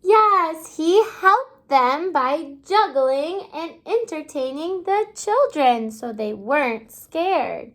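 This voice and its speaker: A woman kindergarten teacher reading a storybook aloud to young children in an exaggerated, child-directed way, pausing to ask simple questions. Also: high voice, expressive, fairly bright tone. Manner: asks simple questions, pausing as if listeners answer.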